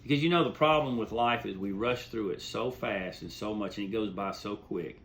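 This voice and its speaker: A man's voice: fairly low pitch, moderate pace, a bit bright. A middle-aged man giving an informal, folksy talk, telling stories and offering plainspoken advice, repeating phrases for emphasis. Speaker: host.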